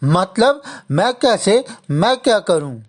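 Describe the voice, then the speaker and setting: A woman's voice: mid-range voice, big pitch swings, takes it slowly. A woman voicing a poor, scorned village man, earnest and emotional, in a dramatized moral story. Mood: confused. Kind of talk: dramatized children's story dialogue.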